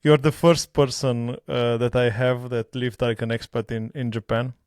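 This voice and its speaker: A man's voice: medium pitch, little pitch movement, moderate pace, darkish tone, clean tone, no echo, clean studio sound. A man hosting a podcast, asking casual, jokey questions and often stumbling over the start of his sentences. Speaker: podcast host. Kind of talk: casual interview.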